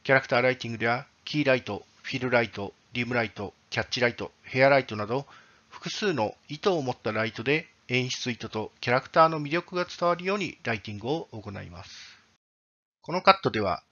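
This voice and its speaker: A man's voice: mid-range voice, animated, fast, fairly bright tone. Formal male presenter, slightly dull sound. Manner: formal, explanatory. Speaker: presenter, game developer. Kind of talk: scripted technical presentation.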